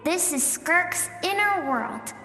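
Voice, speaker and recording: A woman voicing a playful, mysterious spirit guide in a video game, musing and expressive as she tells her tale. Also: very high voice, takes it slowly, full character voice. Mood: playful, curious. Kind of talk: scripted video game dialogue. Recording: echoey hall-like reverb over music.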